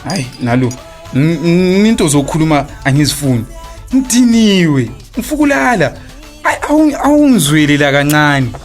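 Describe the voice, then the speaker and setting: A man's voice: fairly high pitch, sing-song, normal speed, soft background music. A man with a Zimbabwean accent acting an evasive, philandering husband in a radio drama, stammering through his excuses. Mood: defensive, irritated. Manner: stammering excuses, switching into English phrases. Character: evasive philandering husband and father, smooth-talking, defensive.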